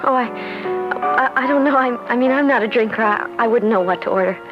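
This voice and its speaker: Woman as earnest young niece, naive, polite, highish voice, muffled radio drama with faint music. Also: fast, lightly acted. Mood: shy. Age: young adult. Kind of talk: old-time radio drama acting. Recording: muffled old radio recording.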